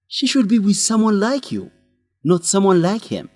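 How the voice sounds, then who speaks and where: Radio drama student journalist: young, determined, emphatic, lively intonation, dry recording. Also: male voice, high-pitched, moderate pace, no echo. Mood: indignant, frank. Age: young adult. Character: indignant, determined young male student reporter. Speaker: actor in an educational radio drama.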